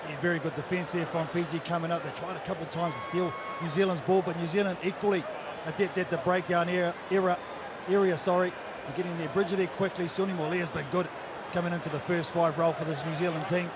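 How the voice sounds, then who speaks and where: A man's voice: a little high, flat delivery, fast. A man giving expert rugby sevens commentary, chatting through tactics and talking directly to his commentary partner. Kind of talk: live rugby sevens analysis. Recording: commentary over loud stadium noise.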